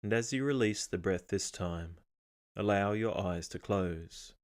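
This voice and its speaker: A man narrating a guided meditation as the guide, speaking in a calm, measured, instructional way with pauses. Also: on the low side.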